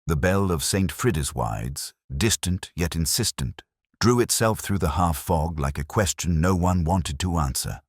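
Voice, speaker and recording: A man playing a weary, sharp-minded police detective, narrating a mystery in a measured, literary, wry and brooding way. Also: low voice, expressive, slightly rough, no room sound, studio quality, exaggerated voice. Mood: weary, reflective. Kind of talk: scripted first-person detective narration.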